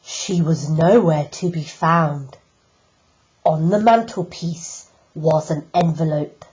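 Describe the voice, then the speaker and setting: A woman teacher reading a picture book aloud, slow and deliberate with emphatic pauses. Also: low voice, darkish tone, highs cut off. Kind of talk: storybook read-aloud.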